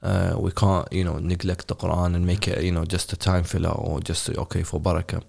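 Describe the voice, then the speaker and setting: A very low-voiced man with a gravelly edge asks questions in a loose, conversational way. He often stops and restarts his sentences, circling around his point before landing on it. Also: little pitch movement, a little mellow. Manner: halting, many restarts and fillers. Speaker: interviewer. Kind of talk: casual interview.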